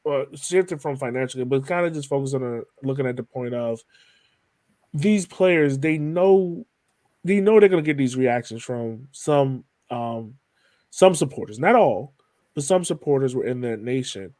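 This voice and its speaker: A man's voice: mid-range voice, animated, moderate pace, dark tone, no echo. A man hosting a podcast interview in a casual way, feeling his way through his questions.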